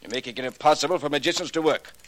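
A man's voice: fairly high pitch, racing, slight background noise, close to an everyday voice. An actor in a 1940s radio drama playing a bitter old magic-shop craftsman, indignant and theatrical, with stilted phrasing. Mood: bitter refusal. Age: older.

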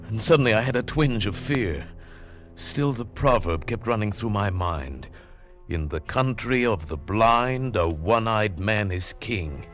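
An actor playing an earnest, intense adventurer recounting his ordeal in 1940s radio drama, in halting dramatic speech. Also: mid-range voice, fairly bright tone.

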